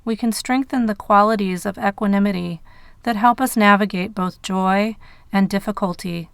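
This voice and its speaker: A woman guiding a meditation in measured phrases with pauses between them. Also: mid-range voice, vocal fry, clean tone, dry recording. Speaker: meditation guide. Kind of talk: guided meditation narration.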